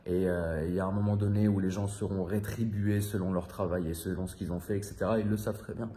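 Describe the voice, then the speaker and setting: A man's voice: deep voice, fairly even intonation, quick pace, dark tone, slight background noise. A man in his thirties from France, talking to his viewers in a rambling, confiding way, slangy and swearing casually. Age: 30s. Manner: rambling, loose and confiding, many fillers and repeats. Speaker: vlogger. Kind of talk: casual monologue to camera.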